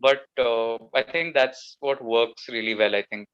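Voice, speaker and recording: A slightly muffled, lo-fi recording carries a man with an Indian accent and a mid-pitched voice. He chats casually as an interview guest, explaining his ideas in a conversational way. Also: steady tone, measured pace, a bit bright, clear, resonant tone. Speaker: guest actor. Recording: dull, muffled lo-fi microphone.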